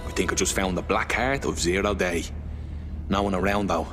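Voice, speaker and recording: An actor playing a wary, foul-mouthed resistance operative in a video game. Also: male voice, low voice, moderate pace, over music, natural voice. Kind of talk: video game cutscene acting.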